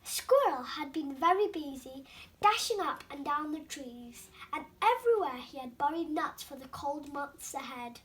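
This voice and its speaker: A young girl hosting storytime, reading a children's book aloud with careful, animated expression. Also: sits high, moderate pace, a little hiss or hum.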